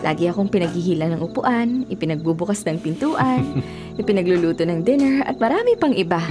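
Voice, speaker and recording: A woman's voice: lowish voice, lively intonation, brisk, over music, close to an everyday voice. A woman in a radio drama playing a sweet, adoring young girlfriend with a gushing, affectionate manner.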